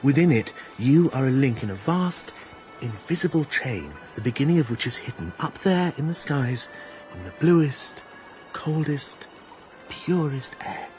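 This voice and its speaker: A man with a British accent playing an earnest, idealistic gentleman in a radio drama, fervent and searching, sometimes hesitant. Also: mid-range voice, expressive, unhurried, faint music behind, natural voice, low-quality recording. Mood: fervent, reverent.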